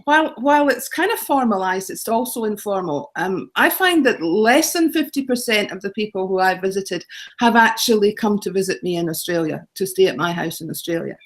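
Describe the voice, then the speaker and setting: A woman's voice: mid-range voice, expressive, brisk. Chatty Scottish woman, middle-aged. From Scotland. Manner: chatty, rambling, repeats phrases, frequent ums and laughs. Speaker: guest, home exchange author. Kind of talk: casual interview talk.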